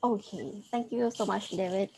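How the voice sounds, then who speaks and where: Soft-spoken woman moderating questions. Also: medium pitch, normal speed, vocal fry, a little hiss or hum. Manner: polite, repeats thanks, soft-spoken. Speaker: webinar moderator.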